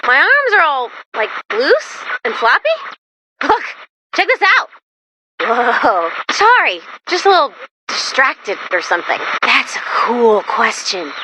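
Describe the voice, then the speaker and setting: Perky, scatterbrained young robot assistant for a video game: high, very animated delivery, chatty and earnest, easily defensive. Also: female voice, slow pace, a little hiss or hum, heavily acted, poor audio. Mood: loose, dazed. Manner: chatty, informal, expressive, awkward hesitations and emphatic asides.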